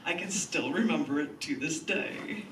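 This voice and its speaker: A woman's voice: deep voice, expressive, moderate pace, a bit bright, recorded from a distance. A woman hosting a live open mic, reading her poetry and chatting between pieces in a large indoor space. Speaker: poet and open mic host. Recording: echoey, noisy room, off-mic.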